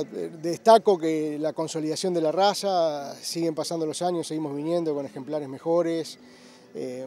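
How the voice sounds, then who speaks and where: A man's voice: fairly high pitch, warm tone. A middle-aged man, a breeder answering interview questions outdoors in a fluent, emphatic way. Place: outdoor livestock show grounds. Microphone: handheld interviewer mic.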